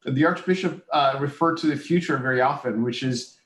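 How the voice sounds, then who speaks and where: Male panelist, earnest and worried, halting delivery, heard in a roomy echoing space. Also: mid-range voice, fairly bright tone. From United States. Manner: earnest, concerned, many fillers. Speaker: panel speaker, business professor. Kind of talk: panel discussion. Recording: roomy echo.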